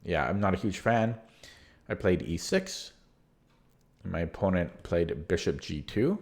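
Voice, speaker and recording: Low-voiced man talking through his game. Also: measured pace. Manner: hesitant, many restarts, relaxed and candid. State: losing his voice. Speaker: chess channel host reviewing his own game. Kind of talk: casual game analysis from notes.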